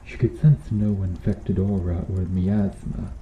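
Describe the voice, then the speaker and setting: A man playing a cruel, vengeful medieval knight in an ASMR roleplay, speaking in formal archaic phrases with menacing, deliberate intensity. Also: deep voice, fairly even intonation. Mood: grave, explanatory. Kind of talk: ASMR roleplay, slow dramatic monologue. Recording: muffled, roomy sound with background noise.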